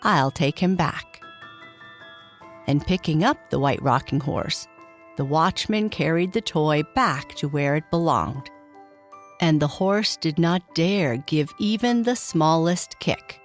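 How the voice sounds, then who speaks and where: A woman with a slightly low voice tells a children's bedtime story. She reads very slowly with lots of melodic movement, and music plays underneath. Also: animated. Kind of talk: expressive scripted children's storytelling.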